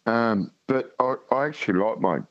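A man with a thick rural Tasmanian accent, chatting casually as a radio caller in a halting, matey way. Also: medium pitch, dry recording. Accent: thick rural Tasmanian Australian. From Tasmania, Australia. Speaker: radio call-in guest. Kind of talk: casual chat. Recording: dull phone-in line.

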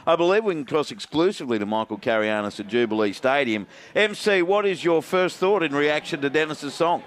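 Chatty Australian radio sports host. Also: male voice, on the high side, lively intonation, a little hiss or hum. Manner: laughing, quick, chatty. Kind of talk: casual radio banter, reading texts.

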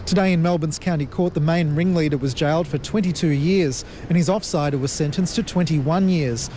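A man's voice: on the high side, a little fast, mellow. A man delivering a scripted court report for radio news, recorded with some outside noise.

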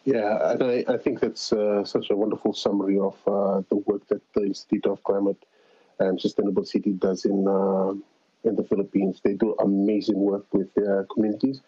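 A man's voice: on the low side, little pitch movement, normal speed, dark tone, slightly rough. A man with a Fijian accent answering casually as an interview guest, speaking as a climate organizer over a remote call. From Fiji. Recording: dull, roomy call audio.

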